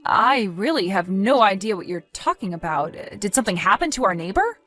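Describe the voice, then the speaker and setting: Woman acting a lively, flustered, evasive wife. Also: mid-range voice, a little fast. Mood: embarrassed, flustered. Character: evasive, flustered wife, sweet then indignant. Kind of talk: dramatized text-message story narration.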